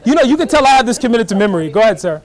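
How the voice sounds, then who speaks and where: A middle-aged man giving a lively college lecture in fast bursts, often checking whether the class is following. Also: high voice. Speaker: guest lecturer, professor.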